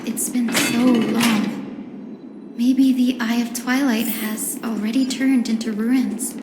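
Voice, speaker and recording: A woman playing a gentle, knowledgeable young guide in a fantasy video game, speaking in a measured, explanatory way. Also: fairly high pitch, steady tone, background noise. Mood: thoughtful, explanatory. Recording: echoey long reverb, music behind.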